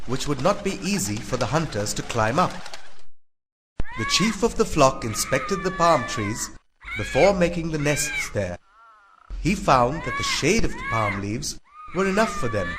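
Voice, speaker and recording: A man narrating a scripted children's story in an expressive, dramatic way. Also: on the high side. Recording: clearly noisy background.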